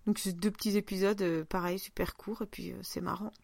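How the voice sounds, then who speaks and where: A woman's voice: mid-range voice, steady tone, brisk, gravelly, darkish tone, no room sound. A woman co-hosting a podcast in casual chat, informal and often searching for her words. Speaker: podcast co-host.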